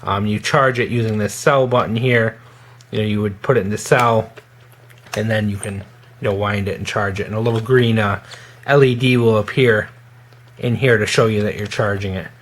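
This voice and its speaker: A man giving a casual, hands-on product demonstration in an informal, halting way as a gear reviewer. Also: on the low side, steady tone, a little hiss or hum.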